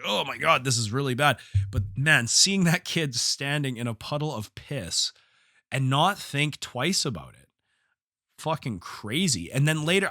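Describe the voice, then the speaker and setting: Bright-voiced man, blunt casual podcaster, slightly hoarse. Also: mid-range voice, no room sound, clean studio sound. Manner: blunt, profane, slangy. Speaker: podcast host, jiu-jitsu coach and gym owner. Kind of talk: casual solo podcast storytelling.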